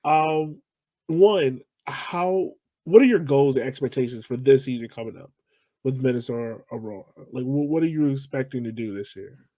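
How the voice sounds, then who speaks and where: A man's voice: medium pitch, moderate pace, mellow, no room sound. A man hosting a sports podcast, asking questions in a casual, conversational style. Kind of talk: casual interview.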